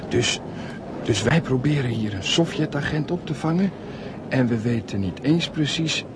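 An actor playing a nervous, wry secret agent on a first assignment in a Dutch radio play. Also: male voice, medium pitch, lively intonation, moderate pace, natural voice. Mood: wry, uneasy. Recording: loud vehicle and ambience noise.

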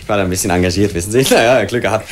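A man's voice: lowish voice, very fast, natural voice. An actor playing a jovial, chatty, cosmopolitan male reporter in a 1940s radio play. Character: jovial, breezy, cosmopolitan star reporter who plays the stocks. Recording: noisy 1940s radio recording with clicks.

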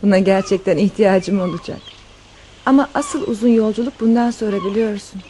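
An actress playing a wealthy, tender countess in love, in a radio drama. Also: female voice, mid-range voice, fairly quick, warm tone, slight background noise, lightly acted. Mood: relieved, chatty. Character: wealthy countess fleeing revolution, tender and devoted lover.

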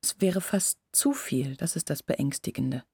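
Husky, brooding young village woman. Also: sits low, lively intonation, a little slow, darkish tone, no echo, clean studio sound, full character voice. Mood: brooding, doubting. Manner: intimate, brooding, earnest explaining. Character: anxious, confiding young village woman, devoted sister. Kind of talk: literary radio drama acting.

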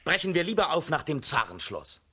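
An actor playing a strong, loyal young hero in a children's radio play, resolute and hearty. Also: male voice, on the high side, big pitch swings, fairly quick, bright, forceful tone, breathy edge, exaggerated voice. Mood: calm, resolute. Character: strong heroic young man, steadfast, loyal friend.

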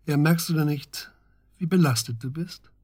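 Earnest investigator, husky, close dry studio sound, pressing, deliberate, radio play. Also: male voice, a little high, a little mellow, no echo. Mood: pressing, worried. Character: grave, pressing male investigator confronting his friend. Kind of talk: German radio drama acting.

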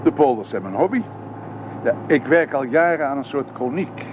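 Actor as a genial preacher, tinny noisy recording. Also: male voice, mid-range voice, animated, moderate pace, lightly acted. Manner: courteous, musing, hesitant. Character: genial, courteous, enthusiastic older preacher travelling by car. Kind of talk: radio play acting. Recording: noisy narrowband old radio play, car sounds.

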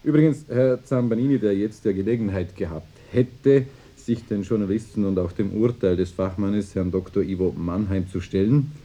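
An actor playing a defensive small-town mayor, speaking in indignant, long-winded officialese at a press conference in a radio drama. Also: male voice, fairly low pitch, normal speed, few pauses, dark tone, natural voice. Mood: indignant, formal.

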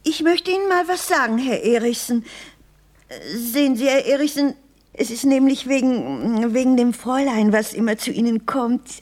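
A woman playing a flustered, soft-hearted widowed landlady in a radio play, hesitant, stammering and deferential. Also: a little high, normal speed, lightly acted. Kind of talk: German radio play acting.

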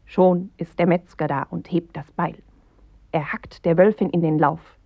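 A woman narrating a dark, grim tale in a radio play, with measured, terse phrasing and a husky, breathy voice. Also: fairly low pitch, fairly even intonation, no room sound. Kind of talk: literary radio play narration, slow and deliberate. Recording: dry, slightly dull studio radio recording.

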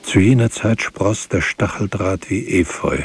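Radio drama narrator: grave poetic witness, very low creaky voice, solemn delivery, faint hiss. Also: male voice, unhurried.

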